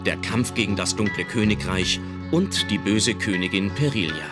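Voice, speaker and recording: A man's voice: on the low side, normal speed, lightly acted. A man narrating a children's adventure radio play as a dramatic storyteller. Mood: dramatic narration. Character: dramatic male storyteller of a magical-girl adventure. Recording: loud music under the voice.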